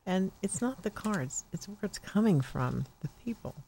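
A woman's voice: medium pitch, expressive, normal speed, gravelly, dark tone, husky. An older woman hosting a psychic call-in radio show, talking in a rambling, chatty way with many fillers. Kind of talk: casual radio call-in talk.

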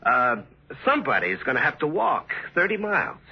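Animated motorist, old radio adventure. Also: male voice, fairly high pitch, normal speed, creaky, breathy edge, heavily acted. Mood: matter-of-fact. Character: Marty, male motorist in a race, plain-spoken and edgy. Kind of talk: 1950s radio drama acting. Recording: muffled, hissy old radio recording.

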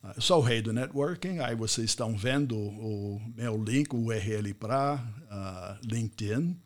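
Middle-aged man, American accent, native English speaker, expressive storytelling, live online event talk. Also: lowish voice, unhurried. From United States. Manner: expressive storyteller, repeats words for effect.

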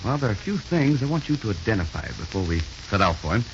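An actor playing a shrewd, authoritative police corporal in a 1930s radio drama, brisk and probing. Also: male voice, on the low side, sing-song. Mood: stern. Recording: dull, faintly noisy 1930s radio recording.

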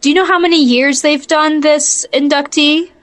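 Female co-host, high voice, informal and chatty, jokes around conversationally. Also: steady tone, moderate pace, background noise. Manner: playful, jokey, chatty. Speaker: podcast co-host. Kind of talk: casual chat.

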